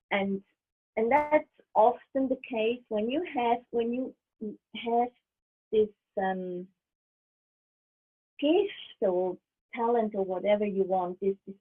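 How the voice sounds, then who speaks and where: A middle-aged woman whose native language is German, a medium speaking as an interview guest over a video call in a thoughtful, halting way, often restarting and laughing softly. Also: mid-range voice, slightly rough. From Switzerland. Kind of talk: casual interview. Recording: tinny video call line.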